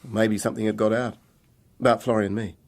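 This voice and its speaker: A troubled young man on the run in a radio drama. His voice sits fairly low, and he talks informally, in a hesitant, confessional way, bitter and weighed down by guilt. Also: level tone, a little fast, a little mellow. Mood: explanatory, candid.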